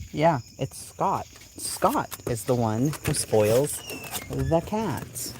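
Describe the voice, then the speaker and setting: A woman with a Boston accent hosting a casual live chat at home, blunt and quick to swear, laughing often as she reacts to comments. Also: sits low, big pitch swings, noisy background. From Boston area, USA. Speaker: channel host.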